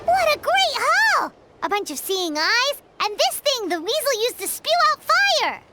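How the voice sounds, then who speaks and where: A woman voicing a tiny, childlike, cheerful and excitable floating fairy companion in a video game, full of exclamations. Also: extremely high pitch, unhurried, full character voice. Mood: excited. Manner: speaks of herself in third person, exclamatory. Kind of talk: animated video game voice acting. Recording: loud music behind the voice.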